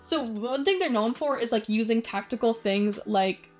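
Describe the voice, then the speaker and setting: Young female design vlogger, chatty and self-deprecating, slightly high voice, soft music bed. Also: moderate pace. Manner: chatty, many fillers, self-deprecating asides. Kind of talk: casual commentary on brand design.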